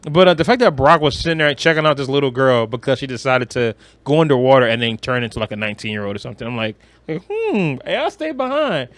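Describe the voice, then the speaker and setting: A man's voice: medium pitch, very animated, quick pace, a bit bright, no room sound. A man co-hosting a podcast, chatting casually about movies in a slangy, joking, sweary way. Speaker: podcast co-host.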